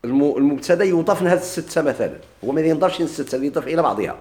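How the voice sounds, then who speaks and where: A Moroccan-accented male teacher talks fast through a grammar lesson, his voice sitting a little high. He keeps asking his class questions, echoes their answers, and stretches out each quoted example slowly. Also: animated, a little mellow. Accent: Moroccan Arabic. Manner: drawls quoted examples slowly, quick plain explanations; asks students questions and repeats their answers.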